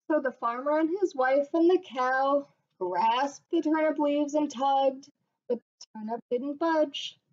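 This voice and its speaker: Woman teacher reading a kids' book really slowly, bit high voice, muffled lo-fi webcam sound. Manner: slow, expressive, gentle read-aloud voice. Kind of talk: storybook read-aloud on webcam. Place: small room at home. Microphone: webcam at desk. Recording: dull lo-fi webcam audio.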